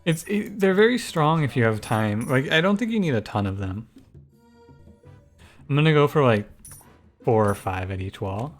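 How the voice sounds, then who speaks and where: A man with a soft, soothing voice giving relaxed, thinking-aloud commentary over a strategy game. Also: normal speed, long pauses, faint music behind. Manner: low-key, musing, thinks aloud with frequent restarts. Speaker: gaming YouTuber and streamer. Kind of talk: casual gameplay commentary.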